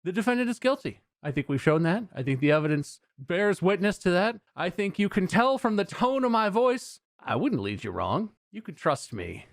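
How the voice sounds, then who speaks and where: A man's voice: sits high, big pitch swings, normal speed, few pauses, no room sound, pitched above the performer's own voice. A man playing a bombastic, accusing prosecutor in a mock courtroom, with dramatic, insistent rhetoric. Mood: smugly insistent. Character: bombastic, accusing male prosecutor addressing a jury.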